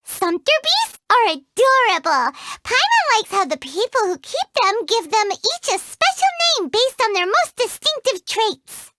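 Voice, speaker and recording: A woman voicing a tiny, excitable, greedy female fairy companion in a game who talks about herself in the third person. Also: extremely high pitch, a little slow, few pauses, no echo, heavily acted. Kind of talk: game voice acting.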